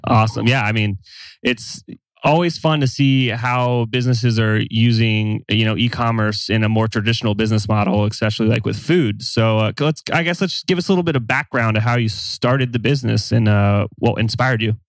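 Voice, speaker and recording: Relaxed male podcast host, dry sound. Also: fairly low pitch, a little fast, no room sound. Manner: relaxed, filler-heavy. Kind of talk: casual podcast hosting.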